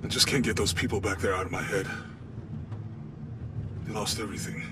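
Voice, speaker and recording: A man's voice: steady tone, a little fast, close to an everyday voice. An actor playing a cautious, concerned male expedition companion in his thirties in a video game cutscene. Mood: worried, doubtful. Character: worried, loyal burly male expedition companion. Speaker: companion character. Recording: music and vehicle rumble behind. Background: music, aircraft cabin rumble.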